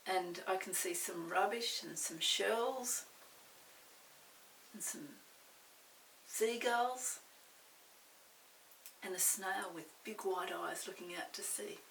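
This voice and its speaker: An older woman tells a picture-book story to young children at a very slow pace. She pauses to describe and question as she goes. The recording is echoey and a little distant from the mic, with faint hiss behind her. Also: medium pitch, gravelly, a bit bright. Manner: unhurried, pausing to describe pictures and ask questions.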